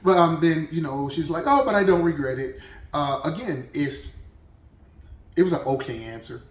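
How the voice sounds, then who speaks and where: A man's voice: on the high side, expressive, normal speed, roomy sound, distant mic. A man hosting a reaction video, talking casually and thinking aloud with restarts.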